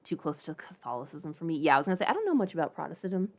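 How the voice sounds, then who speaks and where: Female streamer, slightly low voice, informal and playful, talking off the cuff to viewers. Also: big pitch swings, very fast. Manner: playful, informal, chatty asides. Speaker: livestream host. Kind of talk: casual livestream chat.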